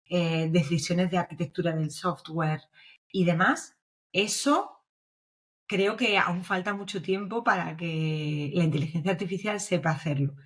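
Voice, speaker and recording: Spanish woman from navarre, kinda low voice, chatty guest explaining stuff and checking in with "right?". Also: measured pace. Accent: Spain Spanish. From Navarre, Spain. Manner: explanatory, checks in with frequent tag questions. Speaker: guest, developer advocate. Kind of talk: casual podcast interview.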